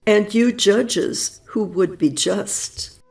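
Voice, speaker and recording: A woman reading prose poetry aloud in a slow, solemn, reverent manner, with measured phrasing and long pauses. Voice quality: gravelly, low and mellow. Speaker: audiobook reader. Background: soft music.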